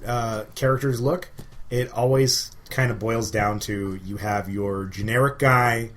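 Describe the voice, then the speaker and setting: A man co-hosting a podcast, chatting casually about games and telling stories in an animated way. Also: mid-range voice, unhurried. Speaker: podcast co-host. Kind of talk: casual gaming chat. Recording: clear background noise.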